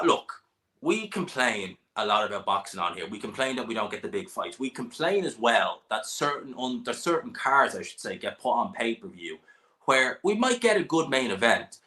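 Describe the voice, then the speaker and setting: Bright-toned man hosting a banter-filled boxing stream. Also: medium pitch, sing-song, moderate pace. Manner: jokey, banter-heavy, laughs often. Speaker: boxing channel host. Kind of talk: casual live-stream chat.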